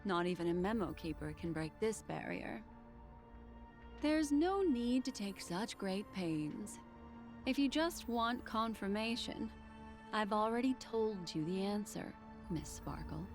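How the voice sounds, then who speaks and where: An actress playing a calm, enigmatic young woman sleuth in a video game, speaking in a composed, deliberate manner. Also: mid-range voice. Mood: calm, certain. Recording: faint game music behind the voice. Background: game music.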